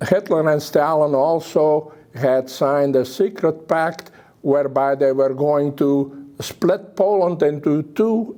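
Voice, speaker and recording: An older man with a Belgian accent giving a public talk about his memories, speaking haltingly with pauses. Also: a little high, dark tone. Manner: halting, hesitant delivery with pauses. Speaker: guest speaker.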